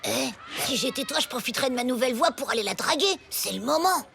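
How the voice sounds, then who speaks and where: Excitable, swooping cartoon schoolboy. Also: female voice, sits high, fast talker, vocal fry, background noise. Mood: egging on. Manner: eager, slangy. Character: excitable schoolboy sidekick, about ten, egging his friend on. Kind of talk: animated cartoon acting. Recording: cartoon soundtrack with music.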